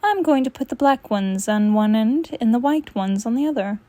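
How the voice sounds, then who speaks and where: A woman's voice: a little high, animated, moderate pace, dark tone, no echo, natural voice. A woman voicing a dull, earnest, nerdy man who owns a cat, in a comic storybook read-aloud.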